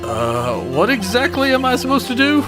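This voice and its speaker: Game fan dub rookie defense lawyer male actor: young, earnest, jumpy, very lively intonation, music behind. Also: very high voice, moderate pace, full character voice. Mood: nervous, confused. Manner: jumpy and hesitant, turning emphatic. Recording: music over the voice.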